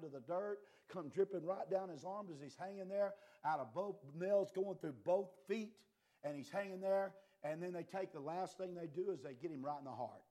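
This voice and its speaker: A man preaching a fiery sermon, impassioned and swinging between quiet and loud, his voice a little worn. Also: high-pitched.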